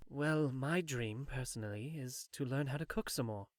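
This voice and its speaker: A man's voice: medium pitch, moderate pace, no room sound, studio quality, lightly acted. A man voicing an earnest, mild young male gamer with an apologetic manner in a fantasy audiobook.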